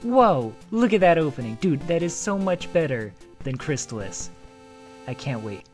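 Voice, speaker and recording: Energetic man hosting a game translation. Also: fairly high pitch, moderate pace, soft background music. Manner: lively, jokey, breaks off to comment with sudden excitement. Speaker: host and translator of a video game. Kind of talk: scripted commentary reading translated game text.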